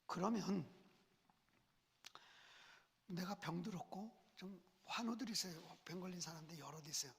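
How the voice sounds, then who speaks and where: A man's voice: high voice, moderate pace, creaky, long pauses, breathy edge. A man preaching a sermon as senior pastor, speaking through a cold and a cough, asking the congregation questions as he goes. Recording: long church reverb.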